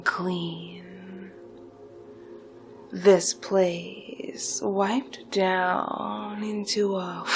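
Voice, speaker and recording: A woman playing a conspiratorial, seductive supervillain recruiter in a theatrical roleplay, speaking with dramatic pauses and hushed, breathy passages. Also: mid-range voice. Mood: hushed menace. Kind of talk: slow theatrical roleplay monologue, partly whispered. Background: music.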